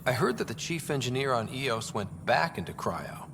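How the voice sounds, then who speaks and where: A man's voice: mid-range voice, very animated, moderate pace, noisy background. A man playing a young space explorer leader in a video game, talking in a casual, direct way. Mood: direct, inquiring. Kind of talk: video game character dialogue. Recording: reverberant hall, clear background ambience.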